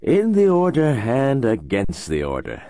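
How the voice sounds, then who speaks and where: A man voicing a calm, enigmatic wealthy middle-aged man in an audiobook, speaking in slow, deliberate pronouncements. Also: mid-range voice, dry recording, clean studio sound. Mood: cryptic. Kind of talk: audiobook character voice.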